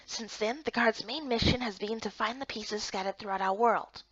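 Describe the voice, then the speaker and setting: A woman voicing a gentle, patient male guard official in a soft, breathy, reassuring way for a game story. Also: a little high, moderate pace, no echo, highs cut off.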